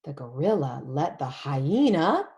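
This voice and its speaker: A woman leading an interactive storybook read-along for young children, animated and emphatic, using simple repeated phrases and questions. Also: deep voice, unhurried, a little hiss or hum. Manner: animated, emphatic, often drops to a whisper.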